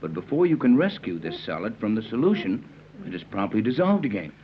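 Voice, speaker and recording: A man playing a resolute captain and scientist in an old-time radio serial, measured and authoritative. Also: fairly low pitch, sing-song. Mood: confident, measured. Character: steady, resolute captain and chemist, grown man. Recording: muffled, noisy vintage radio recording with hum.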